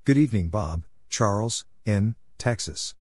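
A man reading out practice exchanges as a narrator, in a measured way, spelling out letters one at a time. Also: lowish voice, expressive, a little mellow, slight background noise. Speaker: Morse code practice narrator.

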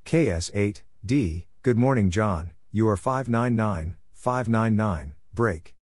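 A man reading out scripted radio exchanges in a measured way, as narrator of a practice lesson. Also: lowish voice, lively intonation, darkish tone. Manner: measured, spelling out call signs piece by piece. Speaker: Morse code practice narrator.

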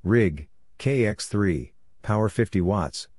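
A man's voice: on the low side, animated, takes it slowly, a little mellow. A man reading out scripted radio exchange phrases in short, clipped, telegraphic bursts. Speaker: Morse code practice instructor.

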